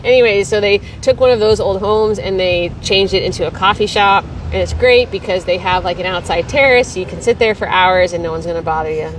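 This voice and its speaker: A woman's voice: medium pitch, brisk, hardly any pauses, distant mic, a little hiss or hum, poor audio. A woman from Texas hosting a weekly livestream, chatting casually and rambling between viewer comments. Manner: chatty and rambling, laughs easily.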